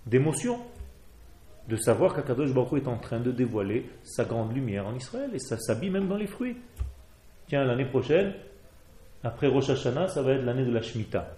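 A man's voice: medium pitch, very animated, a little fast, warm tone, roomy sound. Fervent Israeli-accented man teaching Torah. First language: Hebrew. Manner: fervent, exhorting, mixes Hebrew terms. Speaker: rabbi. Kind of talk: Torah class.

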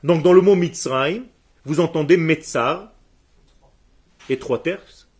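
A man's voice: fairly high pitch, a little mellow, husky. A man who grew up speaking Hebrew, teaching a class emphatically and firing questions at his students. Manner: emphatic, asks the room questions, answers students quickly. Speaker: religious teacher.

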